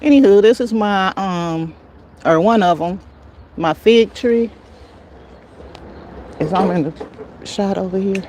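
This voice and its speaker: A woman's voice: mid-range voice, slow pace, pauses often, a little mellow, a little hiss or hum. An American woman chatting casually on a garden livestream, folksy, often muttering to herself and her plants, recorded on a phone outdoors. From United States. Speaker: garden vlogger, livestream host. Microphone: handheld phone.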